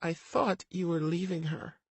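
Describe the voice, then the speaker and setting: A man voicing a hopeful young pregnant woman in an audiobook, in a creaky, husky falsetto. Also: measured pace, no room sound, heavily acted. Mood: hurt. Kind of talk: audiobook character voice.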